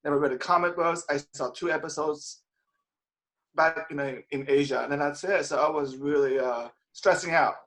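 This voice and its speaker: A man's voice: a little high, normal speed, fairly bright tone. An actor, a man, earnestly recalling his nerves about a role at a cast reunion over a video call. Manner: earnest, laughs while telling. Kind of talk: casual reunion chat.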